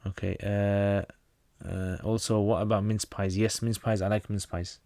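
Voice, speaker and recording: A man's voice: fairly low pitch, level tone, unhurried, creaky, a little mellow, no room sound. A man from North Pakistan, a native Pashto speaker, hosting a casual livestream Q&A. He reads out viewer questions and answers them plainly, often restarting his sentences.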